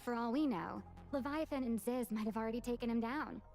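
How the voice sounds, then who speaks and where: A woman voicing young heroic female characters in a video game, with a playful, animated delivery. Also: a little high, a little fast, creaky, soft background music. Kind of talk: voiced video game dialogue.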